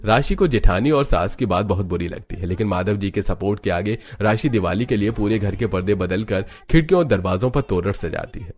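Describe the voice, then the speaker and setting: A man's voice: on the low side, fairly quick, hardly any pauses, darkish tone. A man narrating a scripted family cartoon story as its storyteller. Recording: music bed under the voice.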